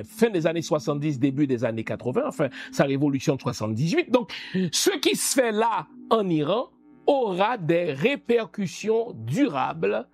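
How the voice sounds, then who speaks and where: Commentator, male, from Haiti; emphatic, addresses the listener, drawn-out delivery, soft music under. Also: sits high. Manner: emphatic, rhetorical questions to the listener. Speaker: political commentator. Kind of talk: spoken commentary.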